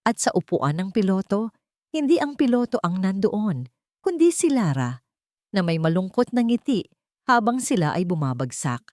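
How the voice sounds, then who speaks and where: A woman reading a dramatic story as narrator, in a steady, measured storytelling delivery. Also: medium pitch, dry recording.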